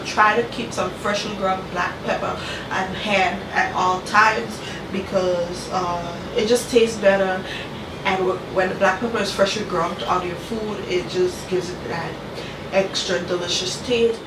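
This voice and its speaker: A woman hosts a casual cooking vlog, her voice stuffy and nasal from a cold. She keeps checking in with her viewers with "you guys" and "okay". She is recorded from a distance in an echoey kitchen, with a clear hum of room noise behind her. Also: medium pitch, steady tone, unhurried. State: congested from a cold, talking through her nose. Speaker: cooking channel host. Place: home kitchen.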